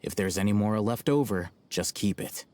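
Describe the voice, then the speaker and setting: An actor playing an aloof, self-assured young scholar in a video game, speaking in a measured, blunt, dry way. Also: male voice, fairly low pitch, lively intonation, darkish tone, natural voice. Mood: dry, cautioning. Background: music.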